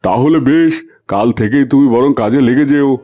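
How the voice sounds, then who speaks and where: A man's voice: medium pitch, moderate pace, dark tone, natural voice. An actor playing a plain-spoken village man of the fields in a cartoon fairy tale. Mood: businesslike.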